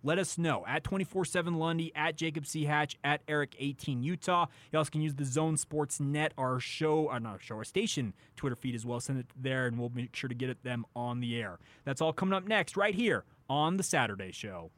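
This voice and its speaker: A man's voice: a little high, a little fast, hardly any pauses, fairly bright tone. A man with an American accent hosting a casual sports radio talk show, chatting and steering the conversation.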